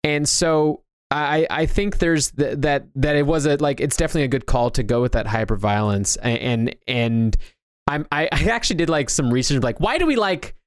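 A man's voice: on the high side, clean tone, no room sound. A man talks in a loose, conversational way as a podcast co-host. He rambles and circles back to restart his sentences, and the sound is dry and close, with little room to it. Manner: rambling, halting, restarts thoughts often. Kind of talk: casual discussion of movies and games.

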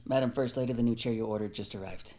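A man's voice: medium pitch, fast, mellow, lightly acted. A man playing a deferential workman who moves furniture in an audio drama.

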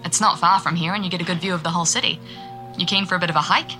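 An actress with a New Zealand accent playing a chatty, curious and friendly local interviewer in a naturalistic audio drama. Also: female voice, lowish voice, fairly even intonation, rapid-fire, pushed and bright, faint music behind. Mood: cheerful, chatty. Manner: chatty, inquisitive.

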